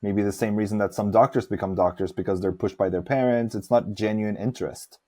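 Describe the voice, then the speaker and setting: A man's voice: on the low side, steady tone, a little fast, warm tone. A man who grew up in Norway, talking reflectively and giving his opinions as host of a casual interview.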